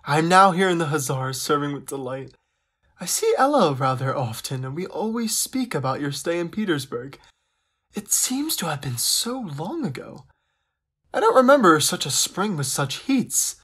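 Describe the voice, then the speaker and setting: A man's voice: on the high side, a bit bright. An actor playing an affectionate, lighthearted young Russian crown prince, reading his letters aloud in a playful, formal way. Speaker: actor in an audio drama. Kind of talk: dramatic reading of personal letters.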